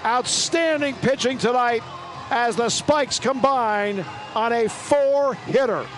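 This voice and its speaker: A man announcing a baseball game on radio, giving scores and reading sponsor lines in a brisk announcer delivery. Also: very high voice, over music. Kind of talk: radio sports broadcast.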